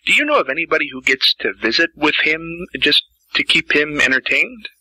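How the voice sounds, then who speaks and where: A man's voice: on the high side, very animated, a little fast, sharp tone, slightly rough, no echo, poor audio. A man hosting an interview and asking brief, plain questions. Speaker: interviewer and host.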